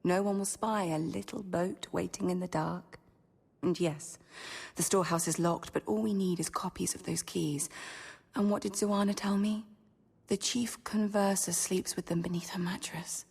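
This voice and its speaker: A woman's voice: fairly low pitch, steady tone, normal speed, vocal fry, a little mellow, breathy edge, in a large hall. A woman playing a rebellious, scheming sixteen-year-old novice in a radio drama, intense and confiding. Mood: secretive excitement. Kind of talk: radio drama acting and inner monologue.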